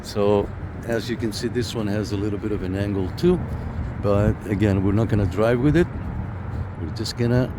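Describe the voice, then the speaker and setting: A man with a fairly low voice hosts a casual hands-on review. He talks informally, straight to the viewer. It is recorded on a handheld camera out by a parked car, with noticeable noise behind him. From Canada. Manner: informal, addresses viewers directly. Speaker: video host reviewing radio gear. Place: outdoors in a parking lot and inside a parked car. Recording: noisy outdoor recording with car sounds.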